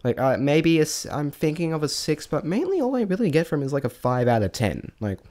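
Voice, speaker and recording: Rambling man with lively, swinging pitch. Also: brisk. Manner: rambling, self-deprecating, thinking aloud. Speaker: amateur vlog reviewer. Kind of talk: casual unscripted spoken review.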